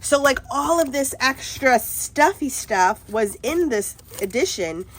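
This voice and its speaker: A woman with a congested cold, chatting bubbly and excitedly at her craft table in a casual haul video, laughing often. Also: high voice, slow, slightly rough. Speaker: craft vlogger.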